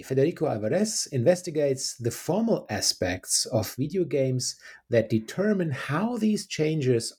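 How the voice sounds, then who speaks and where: Husky man hosting a podcast. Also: mid-range voice, a little mellow. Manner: upbeat, reading an introduction. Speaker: podcast host. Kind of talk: podcast interview intro.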